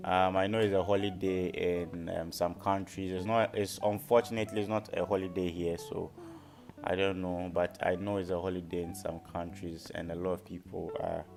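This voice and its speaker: A man's voice: low voice, little pitch movement, normal speed, creaky, a little mellow, soft background music. A man hosting a live trading lesson, repeating his phrases and often checking in with his listeners. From Ghana. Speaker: forex trading educator and live stream host. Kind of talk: live stream teaching, market analysis.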